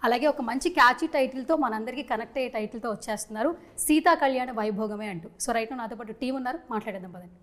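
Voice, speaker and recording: A woman's voice: a little high, quick pace, a bit bright. A woman interviewer chatting playfully and teasingly with a film team, mixing English into her talk. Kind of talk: lively celebrity chit-chat interview.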